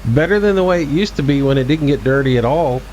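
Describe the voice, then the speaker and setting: A man's voice: mid-range voice, animated, quick pace, darkish tone, a little hiss or hum, poor audio. A man in his forties giving casual, laid-back gameplay commentary as a streamer, chatting back to his viewers. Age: 40s. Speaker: game streamer.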